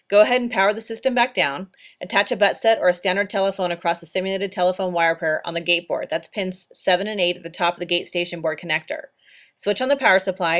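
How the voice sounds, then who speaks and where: A woman's voice: on the low side, a bit bright. A woman narrating instructional training slides in a plain, practical, step-by-step way. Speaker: training presenter.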